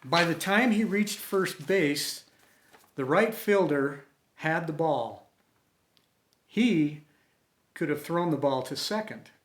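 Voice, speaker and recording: A man reading a story aloud as host, with expressive, dramatic delivery. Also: a little high, slow pace, pauses often. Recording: roomy, echoey home recording.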